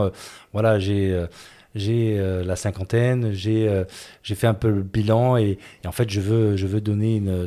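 A man's voice: on the low side, a little mellow. A man hosting a podcast, asking a guest questions in a hesitant way and often searching for words. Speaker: podcast host.

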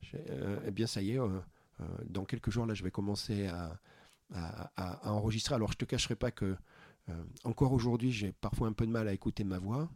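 A husky, slightly low-pitched man from France talks through his plans in an earnest, searching way, stopping often to find his words. The sound is close and dry, with no room around him. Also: brisk, darkish tone, no room sound. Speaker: podcast founder, interview guest. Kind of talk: casual interview chat.

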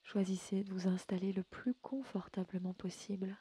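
A woman leading a guided sleep meditation in a gentle, soothing, unhurried way, often slipping into a breathy near-whisper. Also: medium pitch, steady tone. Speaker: yoga nidra guide and podcast host. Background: music.

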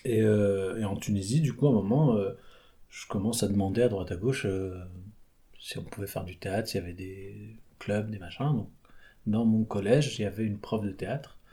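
A man's voice: lowish voice, moderate pace, warm tone. A young man from France, chatting in an interview, hesitant with many fillers and restarts. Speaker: guest, theatre performer. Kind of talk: casual interview chat.

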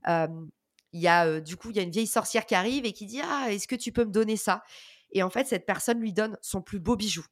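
A French-speaking woman giving energetic, informal advice in an interview, with many fillers and slang. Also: mid-range voice, a little fast, no echo, clean studio sound. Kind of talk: casual motivational advice.

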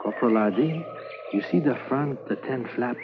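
An actor playing a calm leading a raid, in 1950s radio drama, speaking in quiet, measured commands. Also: male voice, medium pitch, noisy background, close to an everyday voice. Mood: commanding. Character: adult male French-Canadian Mountie corporal, calm leader. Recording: muffled old radio broadcast.

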